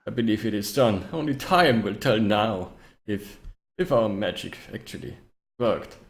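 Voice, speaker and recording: A man voicing a scheming male spellcaster in a tabletop game, with a formal, halting and politely menacing way of speaking. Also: fairly low pitch, creaky. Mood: insistent.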